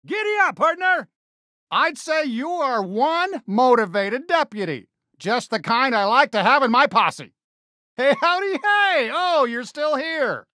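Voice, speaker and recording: A man's voice: very high voice, big pitch swings, a little slow, bright, forceful tone, no echo, studio quality, heavily acted. A man voicing a friendly, folksy American toy cowboy sheriff in a video game, eager and encouraging with a cowboy drawl. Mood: excited, cheery. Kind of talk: video game character voice lines.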